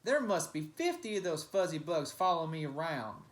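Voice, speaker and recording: A mean, grumbling storybook loner, acted out by a man reading aloud. He growls and shouts his complaints with big swings in pitch. The sound is echoey, as in an untreated room. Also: big pitch swings, moderate pace.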